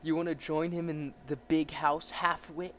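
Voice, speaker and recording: A man's voice: on the high side, little pitch movement, moderate pace, a bit bright, a little hiss or hum, voice pushed up, lightly acted. A man voicing a bullying, corrupt 1930s New York policeman from a comic, sneering and threatening in slang.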